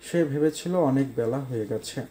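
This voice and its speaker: A man narrates a scripted love story. His voice sits at a medium pitch with a dark, relaxed tone. Faint background music runs under the storytelling. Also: moderate pace, rough recording.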